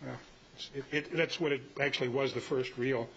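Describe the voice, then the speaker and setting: A man in his seventies giving an informal, halting, rambling talk to a club meeting. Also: mid-range voice, fairly even intonation, fairly bright tone. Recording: muffled live meeting audio with hiss.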